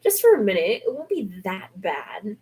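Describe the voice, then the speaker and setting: A woman's voice: mid-range voice, very animated, normal speed, a little mellow, natural voice. A woman voicing a playful, daring young woman in a piece of fan fiction, casual and teasing. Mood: reassuring.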